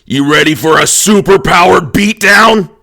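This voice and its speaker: An actor playing a brash, boisterous, cocky pirate shipwright who is eager to brawl, in an anime audio drama. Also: male voice, high-pitched, normal speed, sharp tone, exaggerated voice. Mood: hyped up.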